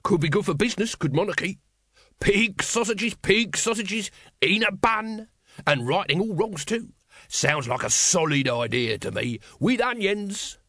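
A man's voice: high voice, animated, measured pace, bright, forceful tone, no room sound, clean studio sound, voice pushed up, heavily acted. A man voicing a cheerful, pushy street vendor in a storybook, with wheedling sales patter. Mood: hawking. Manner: whining, hawking sales patter.

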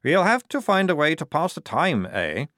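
A man's voice: on the high side, huge pitch swings, normal speed, a bit bright, no room sound, clean studio sound, pitched above the performer's own voice. A man voicing a chummy, wheedling male castle guard.

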